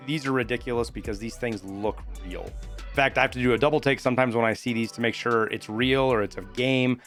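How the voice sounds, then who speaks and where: A man's voice: on the low side, a bit bright. A man hosting a video game news update in an informal, conversational, explanatory way. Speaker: gaming news host. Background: background music.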